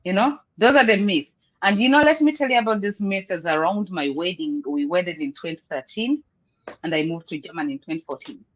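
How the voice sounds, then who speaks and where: A woman's voice: on the low side, animated, a little fast, highs cut off. A woman from Uganda with a Ugandan accent hosting a live online panel over a video call, upbeat, laughing often and switching between English and Luganda. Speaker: host.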